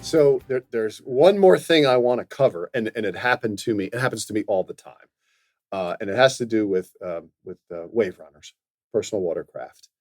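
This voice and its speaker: A man co-hosting a podcast, giving casual advice in a somewhat halting way, repeating his phrases. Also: lowish voice, lively intonation, a little mellow, dry recording, faint music behind. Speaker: podcast co-host.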